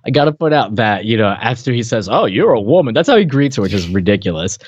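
A man's voice: mid-range voice, big pitch swings, fast, breathy edge. A man co-hosting a podcast in casual chat, eagerly jumping in and restarting his sentences. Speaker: podcast co-host. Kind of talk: casual chat recapping a comedy show. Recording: dry, slightly dull podcast audio.

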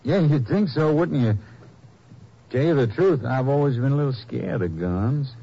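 An actor playing a nervous gun-shop owner in a 1950s radio drama, folksy and overly friendly, stammering under questioning. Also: male voice, medium pitch, lively intonation, normal speed, mellow, slightly rough. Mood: falsely friendly. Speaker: suspect. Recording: muffled old radio broadcast with hiss.

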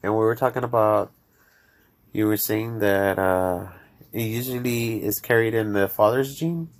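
A man's voice: fairly low pitch, a little slow. A man with a Mexican accent, chatting casually on his show and rambling to listeners. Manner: rambling, addresses listeners directly. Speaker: podcast host. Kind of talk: casual chat.

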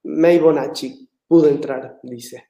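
A man's voice: on the high side, fairly even intonation, takes it slowly, a crowd in the background. A man hosting a casual live-stream talk, friendly and reading out viewer greetings. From Latin America, living in Mexico. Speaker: host of a live online talk. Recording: echoey room.

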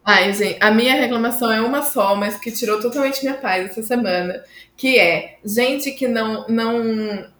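A woman's voice: on the high side, normal speed, fairly bright tone. Brazilian woman co-host in echoey room. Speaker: podcast co-host. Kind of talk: casual chat. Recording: echoey room, faint background noise.

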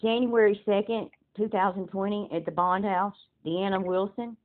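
Female caller, mid-pitched, hesitant and repetitive, heard through a narrow-band phone recording. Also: fairly even intonation, normal speed. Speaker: caller requesting a police report. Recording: muffled, narrow telephone call.

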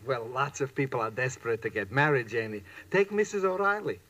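An actor playing a droll, mocking old professor who delivers dry wisecracks in a 1940s radio comedy. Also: male voice, medium pitch, expressive, brisk, fairly bright tone. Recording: very noisy, dull old radio recording.

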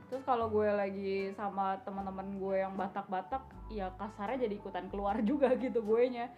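A woman co-hosting a podcast, talking in a relaxed, easygoing way. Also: mid-range voice, brisk, dull audio, faint music behind. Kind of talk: casual chat.

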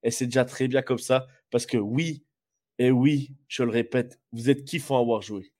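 A man's voice: mid-range voice, steady tone, normal speed, darkish tone. A man co-hosting a sports podcast, stuffy with a cold, rambling through his picks. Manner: rambling, many fillers and restarts. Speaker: podcast co-host. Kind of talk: casual sports chat.

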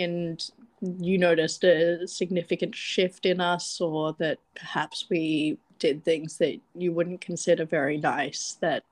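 A woman's voice: on the low side, dry recording. A woman co-hosting a candid podcast, speaking in an easygoing, reassuring way with plenty of casual "yeah" fillers. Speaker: podcast co-host. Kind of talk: candid conversational podcast chat.

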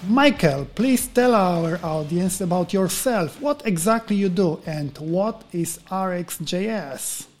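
Guy hosting a podcast, talks real slow, bit of music behind him. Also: sits high, lively intonation. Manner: deliberate, welcoming questions. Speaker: podcast host.

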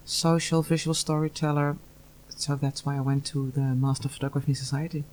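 A woman's voice: deep voice, little pitch movement, a little fast, darkish tone, slight background noise, poor audio. A woman from the Netherlands, a student answering interview questions earnestly, with many hesitations. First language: Dutch. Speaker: master's student.

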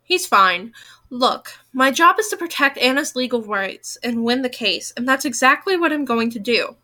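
A woman's voice: high voice, lively intonation, moderate pace, bright, forceful tone. A woman voicing a brash, defensive male lawyer in a story, clipped and argumentative. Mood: defensive, curt.

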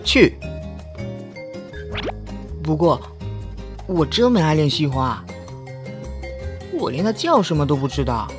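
A man's voice: on the high side, very animated, slow pace, pauses often, big-room sound. A man playing a flustered young college student in an audio drama, easily exasperated, with a hesitant, sighing delivery. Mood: flustered, defensive. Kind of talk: Chinese online audio drama acting. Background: faint music.

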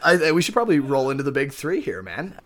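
A man's voice: medium pitch, sing-song, quick pace, a bit bright. A man co-hosting a casual comedy podcast, riffing in mock-earnest banter with some swearing. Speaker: podcast co-host.

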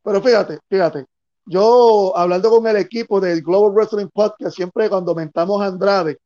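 A man's voice: high-pitched, normal speed, mellow, lo-fi sound. A man hosting a podcast interview, asking questions and giving brief encouraging interjections.

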